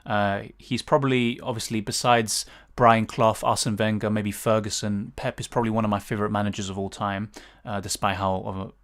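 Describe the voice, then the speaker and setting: A man's voice: fairly low pitch, level tone, brisk, few pauses, a bit bright. A man with a British accent, chatting casually on a livestream and answering viewer questions with lots of fillers. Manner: chatty, thinks aloud with many fillers and restarts. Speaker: fantasy football streamer.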